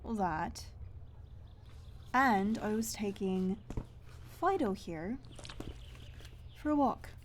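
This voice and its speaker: A woman's voice: medium pitch, lively intonation, extremely slow, long pauses, slight background noise. A woman playing a stubborn, sardonic blacksmith's daughter in a fantasy audio roleplay, wry and casual in manner. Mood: wry, teasing.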